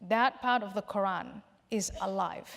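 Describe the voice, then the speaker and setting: Woman from somalia answering questions on a panel, measured and explaining, middle pitch, lots of hall echo. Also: vocal fry. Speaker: panel guest. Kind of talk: public Q&A discussion. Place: large hall. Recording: reverberant hall.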